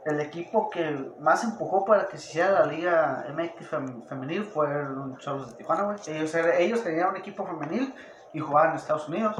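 A man's voice: a little high, fast talker. A man with a Mexican accent bantering casually about sports as a podcast co-host, slangy, sweary and argumentative. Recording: echoey room, noticeable background noise.

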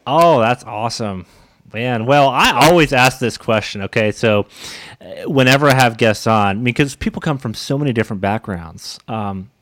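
A man's voice: medium pitch, moderate pace, a bit bright, no echo. A man hosting a podcast in a casual, chatty, informal style. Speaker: podcast host. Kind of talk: casual podcast introduction and interviewing.

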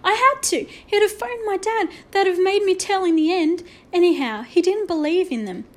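An anxious schoolboy from a storybook, as a woman reader acts him out, pleading his case. The voice is small and pitched high, with an insistent, self-justifying manner. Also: animated, slow pace, full character voice. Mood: anxious, defensive.

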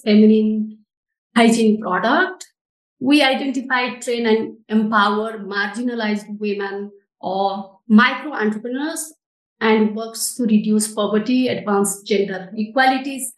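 A woman's voice: fairly high pitch, reverberant, rough recording. A middle-aged woman from Nepal with a Nepali accent and non-native English phrasing, giving earnest explanations as an interview guest. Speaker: interview guest, social enterprise director.

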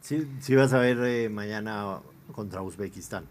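A man's voice: on the low side, normal speed, a little hiss or hum. Mexican man hosting casual sports talk. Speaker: sports show host. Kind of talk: casual sports panel chat, slangy.

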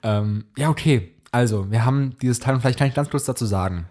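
A man's voice: medium pitch, brisk, a little mellow. A young man co-hosting a casual podcast on a close condenser mic, joking and poking fun at himself with a giggly manner. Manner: jokey, giggly, self-mocking. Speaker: podcast co-host. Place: recorded podcast on studio condenser microphone. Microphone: close condenser microphone.

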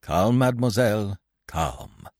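Storybook rogue played by a man: scheming, smooth-talking, self-assured conspirator, animated delivery, dry sound. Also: fairly low pitch, normal speed, dry recording, full character voice. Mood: soothing. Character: sly, strutting, shabby male conspirator and schemer.

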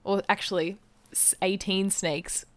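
A woman with an Australian accent co-hosting a podcast in casual, comedic chat, giggly and expressive in her reactions. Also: mid-range voice, measured pace, no echo. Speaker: podcast co-host.

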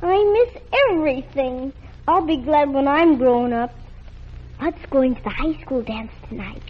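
A woman's voice: high-pitched, unhurried. A chatty nine-year-old girl. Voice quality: girlish and eager. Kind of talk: 1940s radio acting. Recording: muffled old radio broadcast.

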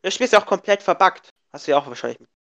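A man's voice: high voice, expressive, rapid-fire, creaky, a bit bright, muffled recording. A young man co-hosting a casual gaming podcast, a bit sick and congested, rambling with many restarts and fillers. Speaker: podcast co-host. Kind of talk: casual chat.

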